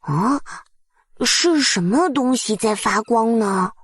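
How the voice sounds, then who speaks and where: Woman as a high-voiced curious little puppy. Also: expressive, slow pace, slightly rough, no room sound, clean studio sound, heavily acted. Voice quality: childlike, cute. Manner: eager, wide-eyed, simple earnest phrasing. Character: young little puppy, curious, eager, innocent child. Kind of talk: children's storybook character voice.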